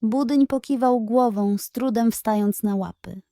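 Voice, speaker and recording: A woman narrates a children's bedtime story at a slow pace. Her voice sits a little high, and the sound is dry, with hardly any room to it. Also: mellow, dry recording.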